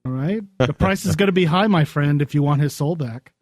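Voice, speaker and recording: A man with a moderately high voice co-hosts a casual talk show. He speaks in a measured, hesitant way, and the recording is dry with little room sound. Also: dry recording. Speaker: co-host. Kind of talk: casual chat.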